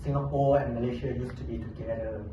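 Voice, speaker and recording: A man from Thailand, giving a humorous contest speech with playful, deadpan storytelling. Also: mid-range voice, fast talker. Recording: echoey hall, distant mic, audience noise.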